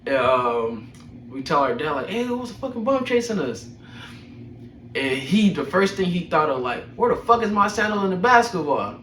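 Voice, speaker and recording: A man's voice: high voice, very animated, moderate pace, a bit bright. A young adult man telling personal stories casually, with slangy, profane phrasing and a chuckling manner. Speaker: storyteller host of a personal podcast. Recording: echoey room, distant mic, faint noise.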